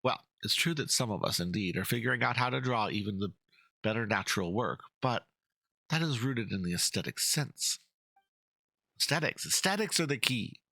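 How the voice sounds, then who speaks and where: A man performing a florid, fussy painter, bright and raspy. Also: mid-range voice, animated, normal speed, no echo, exaggerated voice, rough recording. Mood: indignant, impassioned. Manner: florid, theatrical, pompous. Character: passionate, fussy male painter, florid and easily indignant. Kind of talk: dramatic reading of game dialogue.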